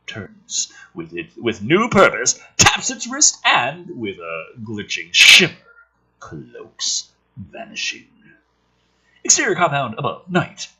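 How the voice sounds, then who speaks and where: A man with a slightly rough voice reading a script aloud dramatically, dropping in sardonic, profane asides. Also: mid-range voice, bright, forceful tone, low-quality recording. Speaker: host reading a film script aloud with commentary.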